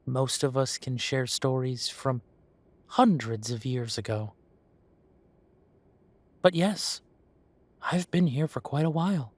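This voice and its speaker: A man playing a genial, long-lived elven café owner who reminisces in an unhurried, chatty way, in a roleplay audio drama. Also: medium pitch, no room sound. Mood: genial, reminiscing. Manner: unhurried, musing, conversational with pauses.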